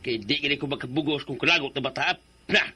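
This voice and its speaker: An actor playing a working husband in a radio drama, offhand and reassuring. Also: male voice, medium pitch, fast talker, pushed and bright, slightly rough. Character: tired working husband, easygoing.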